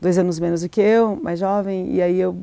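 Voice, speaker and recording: A woman's voice: fairly low pitch, fairly even intonation, a little fast, vocal fry, dark tone, no echo. A middle-aged woman, an author raised in Argentina, answering interview questions in a hesitant, reflective way with many restarts and fillers. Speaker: novelist, interview guest.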